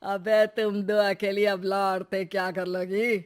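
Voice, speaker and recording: A man performs a menacing burglar in an audio story. He speaks slowly, with a sneering, mocking and threatening manner. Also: high-pitched, level tone, clean tone. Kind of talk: audio story character acting.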